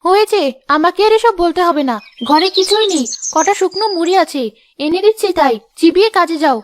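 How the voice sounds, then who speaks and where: A woman voicing a sharp-tongued, nagging young village wife in a cartoon. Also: very high-pitched, a little fast, dry recording. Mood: scolding, annoyed. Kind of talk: cartoon voice acting.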